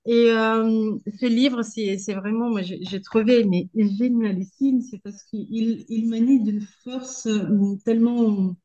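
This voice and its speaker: Woman from moldova, talks slow w lots of searching for words, guest at a debate. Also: fairly high pitch, steady tone, dark tone, highs cut off. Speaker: guest speaker, association founder. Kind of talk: public debate interview, spontaneous speech.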